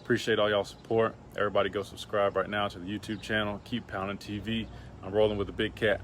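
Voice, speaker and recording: Low-voiced man rattling off a shout-out, monotone, faint street noise outdoors. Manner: quick, flat-toned, low-key. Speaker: football player giving a shout-out. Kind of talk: recorded shout-out.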